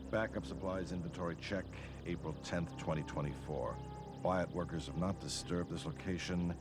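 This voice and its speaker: A grave, very deep-voiced log keeper. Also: male voice, normal speed. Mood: grave, businesslike. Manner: formal, clipped, matter-of-fact. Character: hero's father on a recording, grave, methodical. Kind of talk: animated cartoon acting, formal log report. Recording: loud music behind.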